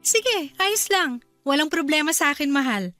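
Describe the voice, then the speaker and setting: A polite young bride-to-be in a Filipino radio drama. Her voice is clearly high, sweet and accommodating, with light music underneath. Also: lively intonation, moderate pace, pushed and bright. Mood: hesitant, accommodating.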